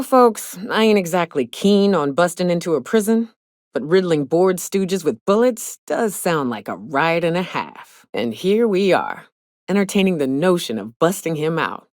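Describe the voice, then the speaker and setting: An actress playing a hard-drinking, trigger-happy but loyal frontier mercenary in a video game, with folksy, salty, sardonic phrasing. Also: female voice, mid-range voice, no echo, clean studio sound, lightly acted. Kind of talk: video game character dialogue.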